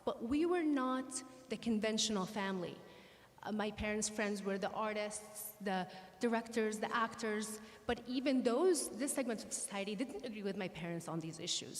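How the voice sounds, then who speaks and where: A woman from Syria giving an earnest personal talk to an audience, telling her story in a measured way. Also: medium pitch. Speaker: guest speaker. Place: synagogue hall. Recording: reverberant hall.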